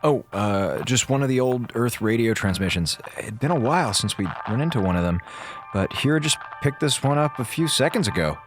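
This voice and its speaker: Sci-fi audio drama officer, male actor: flippant, colloquial, hesitant man, medium pitch, highly expressive delivery. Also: fairly quick, over music, close to an everyday voice. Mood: offhand, distracted. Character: laid-back, wisecracking male space communications officer.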